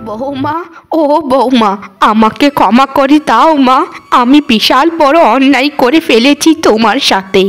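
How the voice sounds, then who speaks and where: A woman's voice: sits high, measured pace, soft background music. A woman voicing a stern old village mother-in-law in a cartoon, declaiming dramatically. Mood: remorseful pleading. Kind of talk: cartoon voice acting.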